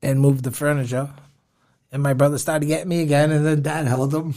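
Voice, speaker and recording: A boxer as a guest on a casual interview, telling childhood stories in a laid-back way with short replies and chuckles. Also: male voice, a little high, little pitch movement, a little fast, darkish tone.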